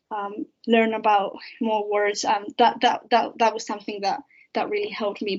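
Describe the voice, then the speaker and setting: A teenage student from Spain, a native Spanish speaker, answering hesitantly with many pauses and restarts on a video call. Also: female voice, fairly high pitch. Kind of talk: casual video-call discussion. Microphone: laptop microphone on a video call. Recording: dull lo-fi laptop audio.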